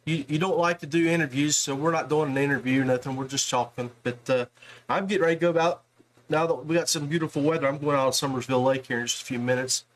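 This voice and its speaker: A man's voice: fairly high pitch, fairly even intonation, fast, rough recording. A middle-aged man hosting a podcast, talking in an informal, rambling, joshing way. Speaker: podcast host. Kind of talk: casual interview.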